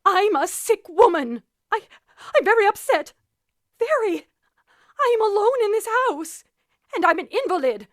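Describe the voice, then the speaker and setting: High-pitched, fretful invalid wife, acted by a woman. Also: big pitch swings, unhurried, dry recording, studio quality, heavily acted. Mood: agitated, upset. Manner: fretful, demanding, dramatic. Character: nervous, imperious invalid wife, bedridden, increasingly terrified. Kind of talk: old-time radio thriller acting.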